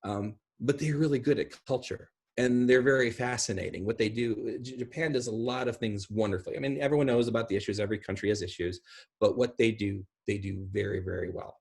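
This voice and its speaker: Husky-voiced man in his forties. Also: lowish voice, brisk. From small rural town. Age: 40s. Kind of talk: casual discussion on a video call. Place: small room at home, indoors. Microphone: computer on a video call.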